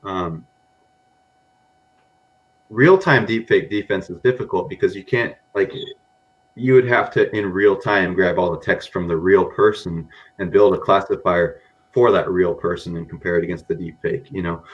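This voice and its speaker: A man's voice: deep voice, normal speed, lo-fi sound. A man speaking as a guest on a data podcast. He rambles casually, with a mixed American accent, and often says "you know" and "um". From grew up in Japan among Americans.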